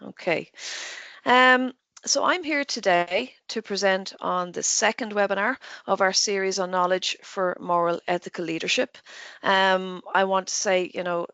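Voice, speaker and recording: A woman in her forties with an Irish accent, her first language Irish, giving a webinar lecture in a quick, chatty way with many ums. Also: medium pitch, steady tone, pushed and bright, smooth, no room sound. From Ireland. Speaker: guest lecturer, nurse educator.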